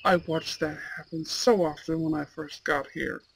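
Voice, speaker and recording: A woman voicing a proud, imperious young male student in a roleplay audio drama, with haughty, formal and deliberate diction. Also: low voice, vocal fry, a little hiss or hum, rough recording. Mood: disdainful. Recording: steady outdoor bird and insect ambience. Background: birdsong and insects.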